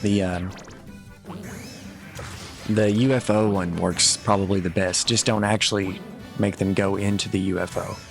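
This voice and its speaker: A man giving casual, matter-of-fact video game walkthrough commentary in a low-key instructional way. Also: little pitch movement, a little slow, gravelly, long pauses. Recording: voice over game music. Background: video game music.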